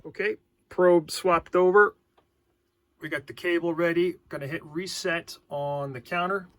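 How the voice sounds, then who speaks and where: A man's voice: on the high side, unhurried, no room sound. A man giving a hands-on technical demonstration, thinking aloud methodically as he works through each step. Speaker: electronics hobbyist demonstrator. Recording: steady background noise.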